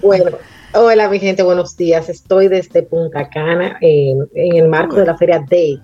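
A woman's voice: lowish voice, fairly even intonation, measured pace, warm tone, dry recording, dull audio. A woman, a tourism marketing expert calling in to a radio show, explaining at length with many filler words. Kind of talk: phone-in radio interview.

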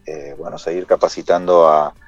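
Male interview guest, deep and halting, explanatory, on a dull dry connection with faint music. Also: little pitch movement, no echo. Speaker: interview guest, company CEO.

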